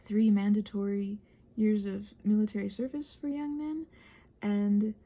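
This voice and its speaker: A soft-spoken woman in a casual, rambling chat while she crafts, meandering and interrupting herself as she murmurs along. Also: mid-range voice, fairly even intonation, a little slow, highs cut off. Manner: meandering, digressive, self-interrupting. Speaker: craft vlogger and audio creator.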